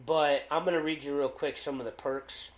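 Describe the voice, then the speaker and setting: A man co-hosting a casual podcast, loud-voiced and rambling, with lots of fillers and restarts. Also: fairly high pitch, steady tone, moderate pace, roomy sound. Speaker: podcast co-host.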